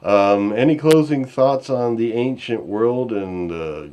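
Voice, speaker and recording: A man's voice: mid-range voice, slow pace, warm tone. A man casually hosting an online discussion show in a rambling, easygoing way, speaking off the cuff. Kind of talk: casual unscripted hosting and chat.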